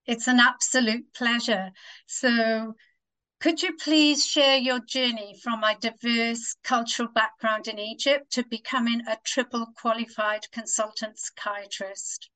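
A woman's voice: a little high, steady tone, measured pace, sharp tone, low-quality recording. A woman hosting an interview, introducing her guest and asking polite, formal questions. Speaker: host.

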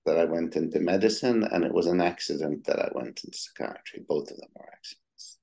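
A man's voice: sits low, fairly quick, vocal fry, mellow. A middle-aged man from Egypt, a psychiatrist answering interview questions with many hesitant ums and uhs. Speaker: psychiatrist guest.

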